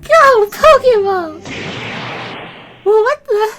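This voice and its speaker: A woman voicing a bossy, hot-tempered girl trainer in an improvised cartoon fandub, shrill and scolding. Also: animated, drawn-out words, fairly bright tone, faint music behind, heavily acted. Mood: excited.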